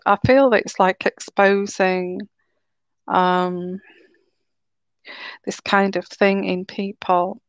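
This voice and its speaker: A woman giving a live tarot reading in a slow, soothing, reassuring way, her throat a little sore and croaky. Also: mid-range voice, no room sound. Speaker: tarot reader.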